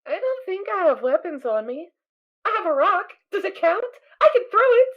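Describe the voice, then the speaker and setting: Bubbly baby-pony filly, very high and tinny, eager and giggly; fan audio drama. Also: female voice, big pitch swings, normal speed. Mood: innocent. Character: cheerful little filly pony, naive and bubbly. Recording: tinny phone-like sound.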